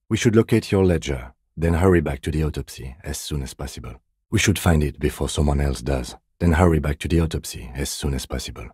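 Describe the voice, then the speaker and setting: A meticulous male police detective from a video game, with an extremely low, mellow voice. He is deadpan and restrained, measuring out each remark with dry sternness. The voice is slightly husky. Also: no room sound. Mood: businesslike. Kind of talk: scripted game dialogue.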